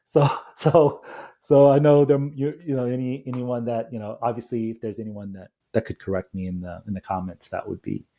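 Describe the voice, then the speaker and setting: A man's voice: medium pitch, fairly even intonation, warm tone. A man speaking casually as an interview guest, feeling his way through sentences and repeating words. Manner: halting, repeats words and agrees readily. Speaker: interview guest, coffee company founder.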